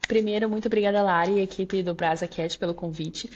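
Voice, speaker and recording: A woman's voice: mid-range voice, steady tone, brisk, highs cut off, a little hiss or hum. A young woman with a Minas Gerais accent, a podcast guest talking candidly and reflectively with frequent fillers. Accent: Minas Gerais Portuguese. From Brazil, Minas Gerais. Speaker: guest, law graduate student. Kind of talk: casual interview chat.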